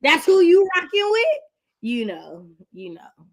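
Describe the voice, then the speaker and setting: A woman with an American accent hosting a book readalong stream in an animated, colloquial, emphatic way. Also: high-pitched, unhurried. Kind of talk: casual livestream chat.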